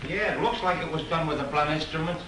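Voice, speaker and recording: A chatty, dim-witted comic sidekick in a 1930s film. His voice is fairly high and sharp, rambling along in a roomy, slightly hissy old recording. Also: quick pace. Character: chatty, dim comic sidekick man telling silly tales.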